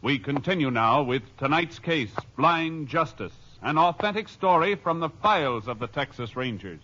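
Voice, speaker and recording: A man announcing a 1950s radio drama in a crisp, declamatory style. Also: a little high, lively intonation, normal speed, sharp tone, slight background noise. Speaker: show announcer. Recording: muffled old radio broadcast over music.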